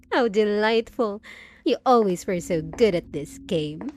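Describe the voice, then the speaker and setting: Slow, possessive undead bride played by a woman. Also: medium pitch, animated, warm tone, a little hiss or hum. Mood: gleeful, hunting. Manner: theatrical, cooing, drawn-out endearments with sinister sweetness. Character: possessive undead bride, sweetly unhinged and menacing. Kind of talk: scripted roleplay audio drama monologue.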